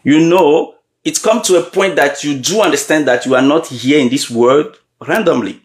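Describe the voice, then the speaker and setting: A man giving a motivational leadership talk, often pausing to check in with his audience and repeating key questions. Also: a little high. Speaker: leadership coach. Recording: roomy echo.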